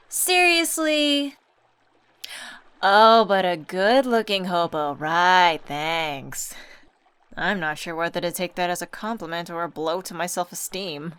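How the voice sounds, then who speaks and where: A woman's voice: medium pitch, fairly bright tone, clear, resonant tone. A young woman acting as a teasing, affectionate old friend in a casual ASMR comfort roleplay. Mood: playful teasing. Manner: playful, teasing, familiar slangy chat.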